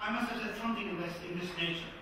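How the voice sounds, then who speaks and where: A man with a Turkish accent, from Turkey, giving a humorous university lecture in measured, emphatic phrases, recorded in a campus lecture hall. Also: high-pitched, noisy background. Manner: humorous, jokes with the audience. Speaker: guest lecturer. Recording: echoey hall recorded from far off.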